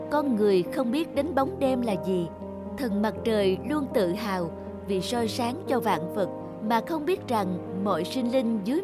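A woman narrating a fairy tale in a measured, scripted storytelling manner. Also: fairly high pitch.

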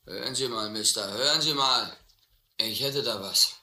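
Radio drama: brash young male drifter and drinker, pushy, piercingly bright, roomy sound. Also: mid-range voice, moderate pace. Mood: insistent, boastful. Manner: insistent, cocky, pestering. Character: brash young drunken drifter hawking a pistol. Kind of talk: German radio play acting.

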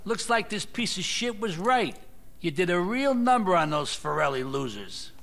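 A man's voice: high-pitched, sing-song, normal speed, vocal fry, fairly bright tone, exaggerated voice. A man playing an aging, cocky mafia boss in a video game cutscene. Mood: pleased.

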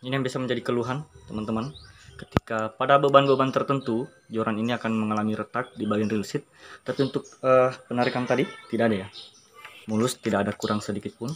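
A man's voice: medium pitch, fairly even intonation, quick pace, slight background noise. A man in his thirties filming himself outdoors with a handheld phone, chatting upbeat to viewers as he reviews fishing gear. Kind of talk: casual product review vlog. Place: outdoors by a school building. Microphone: handheld phone camera close up.

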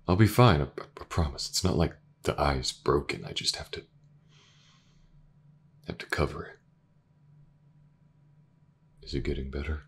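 A man acts out a gentle detective in an intimate audio drama. His voice is extremely deep and soft, halting as he reassures, often slipping toward a whisper, with faint noise in the recording. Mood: gentle, reassuring. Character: gentle, reassuring male detective with an injured eye. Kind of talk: intimate audio roleplay acting.

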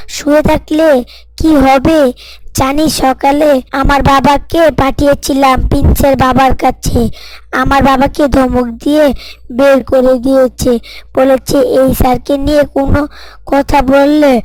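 A woman's voice: high-pitched, little pitch movement, measured pace, a little mellow, no room sound, low-quality recording. A woman voicing a concerned, polite and chummy schoolboy in a scripted moral story drama. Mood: worried.

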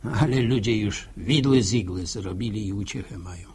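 A man's voice: fairly low pitch, normal speed, darkish tone, natural voice. A male actor playing a wry, folksy village narrator in a 1960s radio drama, musing ruefully in rustic speech. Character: wry, folksy village storyteller, gently lamenting. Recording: old 1960 radio broadcast recording.

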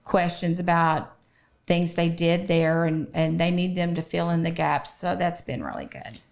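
Middle-aged woman, slightly low pitch, creaky fry, interview guest, conversational. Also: level tone, unhurried. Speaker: children's shelter representative, podcast guest. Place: small podcast studio, indoors. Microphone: close studio microphone on boom arm.

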